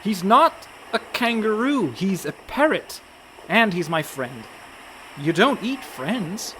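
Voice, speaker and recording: High-voiced anxious reluctant con man. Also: big pitch swings, takes it slowly, sharp tone, a little hiss or hum, exaggerated voice, rough recording. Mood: indignant. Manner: fretful, dry protests. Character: anxious, reluctant young-sounding con man, male, indignant worrier. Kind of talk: comic radio play acting.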